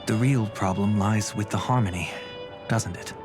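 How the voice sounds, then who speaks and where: A composed older brother, firm and protective, with a lowish, steady voice, as a man voices him in a game. He speaks with measured calm. Game music plays underneath. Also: lightly acted. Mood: calm resolve. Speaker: game character. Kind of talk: voiced game cutscene dialogue.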